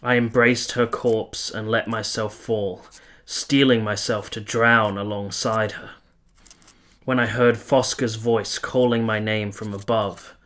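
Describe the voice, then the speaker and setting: A man playing an earnest, gentle soldier in a period radio play, speaking in a measured, literary way. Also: lowish voice, flat delivery. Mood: sombre, haunted. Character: earnest, gentle young soldier narrating his own story. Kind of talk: first-person dramatic narration in a radio play.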